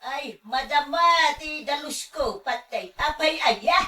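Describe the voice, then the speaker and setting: A woman's voice: high-pitched, slow pace, pushed and bright, heavily acted. A woman with an Ilocano accent playing an older, put-upon sweetheart in a radio drama, drawing out her exclamations and complaints. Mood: complaining.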